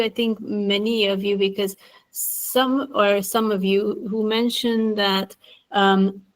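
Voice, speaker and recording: A woman asking questions in an informal academic discussion, thinking aloud tentatively and repeating her words. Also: mid-range voice. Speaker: seminar participant asking questions.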